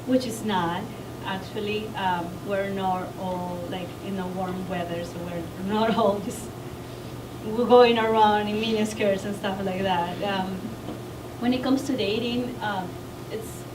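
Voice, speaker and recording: A woman's voice: mid-range voice, fairly even intonation, slow pace. A woman from Latin America, an international student on a panel, speaking casually with frequent fillers. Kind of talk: panel discussion remarks. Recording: echoey room, distant mic, noise.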